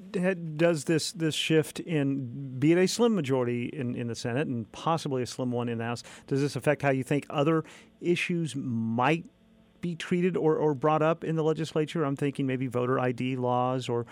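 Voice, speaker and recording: Man, radio host, friendly unhurried questions, mid-pitched voice, dry close sound. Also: no echo. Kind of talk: radio interview.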